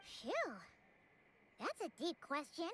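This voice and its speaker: A woman playing a small, friendly, earnest childlike character in a video game, with expressive delivery. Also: sits high, slow, background noise, exaggerated voice. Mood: curious, friendly.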